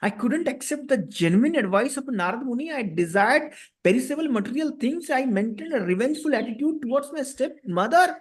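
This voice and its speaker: A man's voice: very high voice, lively intonation, fairly quick, few pauses, slightly rough, voice pushed up, heavily acted. A man with an Indian accent voicing a humble, devoted young prince in a children's devotional story, earnest and remorseful. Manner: earnest, lamenting.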